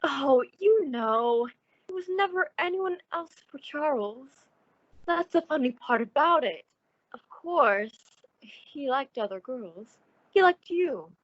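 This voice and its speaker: Actress as high, swooping naive radio heroine. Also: female voice, lively intonation, slow pace, no echo, muffled recording. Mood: wistful, chatty. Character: pretty, naive, nervous woman, sociable and placating. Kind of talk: old-time radio suspense acting.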